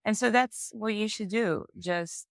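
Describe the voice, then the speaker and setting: A woman with an Italian accent talks as a guest in a casual podcast chat. She explains things through expressive examples and short laughs. Her voice sits at a middle pitch and is recorded dry and close. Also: a little slow, creaky, dry recording. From Italy. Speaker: language teacher, guest.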